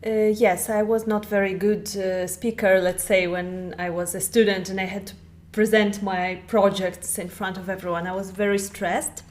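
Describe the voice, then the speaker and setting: A woman speaks English as a guest on a podcast. She explains things carefully and at a measured pace, in a voice of middle pitch. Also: level tone, a little mellow. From Russia. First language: Russian. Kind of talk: podcast interview.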